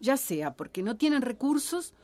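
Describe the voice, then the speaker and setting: An actress playing an earnest, resolute villager making a persuasive case in a radio drama. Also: female voice, on the high side, sing-song, moderate pace, warm tone. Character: earnest, resolute villager urging self-sufficiency.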